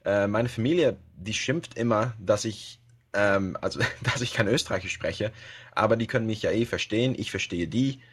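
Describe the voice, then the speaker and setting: A man's voice: lowish voice, normal speed, breathy edge. A man from the Netherlands with a Dutch accent, speaking German as a second language in a casual podcast interview, hesitant with many fillers and restarts. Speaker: podcast guest, web radio host.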